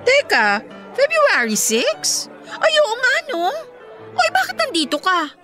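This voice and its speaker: A woman's voice: extremely high pitch, wildly swooping pitch, slow, sharp tone, faint music behind, full character voice. An actress playing a chatty young mother and best friend, breezy and teasing, with a Filipino accent in a radio drama. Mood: surprised. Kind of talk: conversational radio drama acting.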